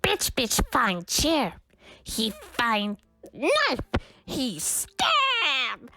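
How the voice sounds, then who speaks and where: A woman's voice: high-pitched, wildly swooping pitch, extremely slow, pushed and bright, no room sound, heavily acted. A woman playing a crude, stab-happy male brute who talks in broken, simple phrases in a comedic audio drama. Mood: belligerent, eager.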